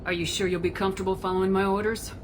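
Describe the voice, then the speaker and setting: A woman's voice: medium pitch, level tone, very fast, long reverb tail, background noise, lightly acted. A woman playing a no-nonsense military commander in a video game, direct and assertive as she questions her crew. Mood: commanding.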